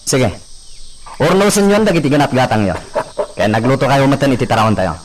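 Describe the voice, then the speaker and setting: A man's voice: mid-range voice, animated, a little fast, background noise, natural voice. A man with an Ilocano accent playing a ranch owner who gives orders in a radio drama. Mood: coaxing.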